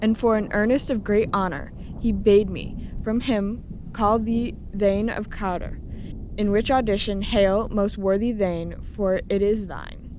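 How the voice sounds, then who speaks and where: A woman's voice: medium pitch, fairly even intonation, measured pace, darkish tone, a little hiss or hum, close to an everyday voice, low-quality recording. A woman playing a formal royal messenger in a declamatory Shakespeare reading. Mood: formal, admiring. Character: formal royal messenger praising a war hero. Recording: faint background ambience.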